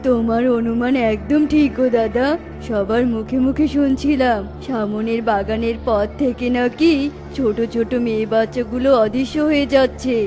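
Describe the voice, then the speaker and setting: Woman as an uneasy high-voiced cartoon bird. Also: moderate pace, lightly acted. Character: uneasy pigeon traveller in a children's cartoon. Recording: music under the voice.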